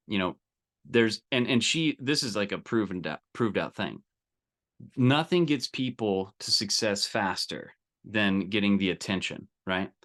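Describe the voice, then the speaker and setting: A man's voice: fairly low pitch, a bit bright. Emphatic man coaching a group live. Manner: emphatic, direct, checks in often with listeners; colloquial, salesy. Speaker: business coach leading a group training call. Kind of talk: informal live coaching talk.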